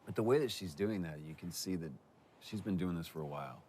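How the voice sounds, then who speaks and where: A man's voice: deep voice, quick pace, darkish tone. A man chatting in casual commentary, conversational and appreciative in manner, with noticeable background noise. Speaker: commentary participant.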